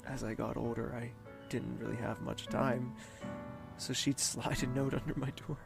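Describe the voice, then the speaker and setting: An actor playing a young crown prince haunted by grief, speaking in a brooding, halting, dramatic way. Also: male voice, mid-range voice, level tone, creaky, music in the background, close to an everyday voice. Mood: brooding, sorrowful. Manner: brooding, anguished, halting delivery. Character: grieving young crown prince haunted by his sister's death. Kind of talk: dramatic audio drama monologue.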